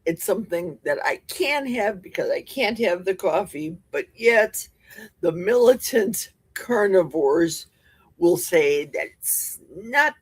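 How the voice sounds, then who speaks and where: A woman with a husky, slightly low voice hosts a relaxed livestream from her basement, chatting and joking with her viewers. She talks at an unhurried pace into a close desk mic, and the sound is dry and close. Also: no room sound. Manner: chatty, jokey, reads viewer comments aloud and answers them. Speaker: livestream host. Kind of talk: casual livestream chat. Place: home basement. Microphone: desk mic with a cough button.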